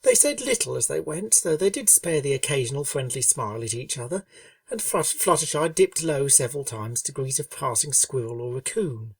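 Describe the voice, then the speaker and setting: Calm woman narrator for a storybook reading, low voice. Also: moderate pace, no room sound, distant mic. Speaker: fanfiction reader.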